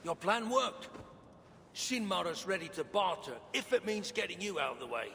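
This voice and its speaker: An actor playing a gruff, blunt, grumbling dwarf who curses freely in a video game cutscene. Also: male voice, high-pitched, very animated, moderate pace, bright, forceful tone, exaggerated voice. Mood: matter-of-fact. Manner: blunt, colloquial, cursing. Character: gruff, excitable, grumbling dwarf workshop owner. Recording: long reverb, faint background noise.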